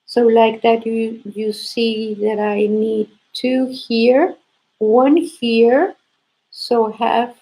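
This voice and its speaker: A woman with a sore throat and congestion, hosting a casual live knitting lesson and explaining stitches slowly, with frequent restarts. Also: a little high, mellow, poor audio. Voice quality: gravelly, husky. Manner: slow, repetitive explaining with restarts.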